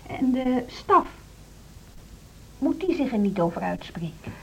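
A woman's voice: a little high, big pitch swings, measured pace, gravelly, fairly bright tone, breathy edge, full character voice, rough recording. An actress playing an earnest, idealistic younger female music teacher in a Dutch radio drama, challenging in manner. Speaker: actor in radio play.

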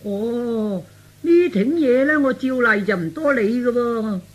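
A woman's voice: on the high side, lively intonation, takes it slowly. An actress playing an easygoing elderly mother in a radio drama, speaking colloquial, exclamatory Cantonese-accented dialogue. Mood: resigned, easygoing. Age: older. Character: elderly mother, easygoing but curious about her grown son.

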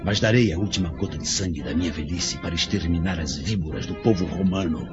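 Male actor as fanatical old rebel chief, menacing Brazilian radio-play declamation, dull sound, clear music behind. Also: low voice, a little fast, natural voice. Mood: bitter, vengeful. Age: older. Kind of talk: Brazilian radio drama acting.

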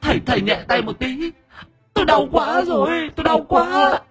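Shrill, sly demon. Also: male voice, animated, moderate pace, a bit bright, faint music behind. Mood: whining, pleading. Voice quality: shrill, strained. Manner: whining, wheedling, cackling. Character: sly, vulgar hungry demon possessing villagers, pleading then mocking.

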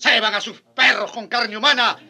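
An impassioned male friar in a radio play. His voice is very high and intensely bright, and he throws sardonic, challenging questions like a fierce debater. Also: steady tone, moderate pace, husky, heavily acted. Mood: bitter, accusing. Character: passionate, sardonic Spanish friar defending the Indians. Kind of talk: radio drama acting.

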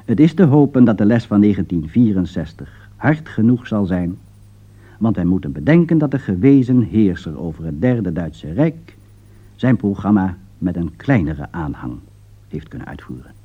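Lively-pitched Dutch man narrating, dull old recording. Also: normal speed, husky. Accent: Dutch, from the Netherlands. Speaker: radio documentary narrator. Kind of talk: scripted political commentary, 1960s radio.

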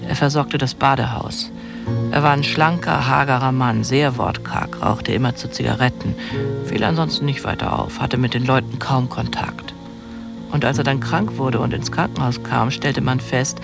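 A man calmly reading witness memories in a documentary radio drama. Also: medium pitch, creaky.

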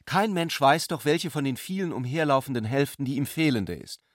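An actor plays an intellectual brother in a literary radio drama. He is eloquent and playfully ironic, with a medium-pitched male voice, recorded close and dry. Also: animated, normal speed, no echo, lightly acted. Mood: eager, musing.

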